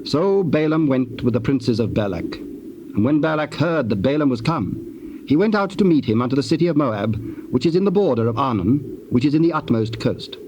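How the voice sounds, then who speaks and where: Male narrator for Bible drama: solemn, scripted, middle-pitched, noisy cassette sound. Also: expressive, normal speed, muffled recording. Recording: noisy old cassette tape.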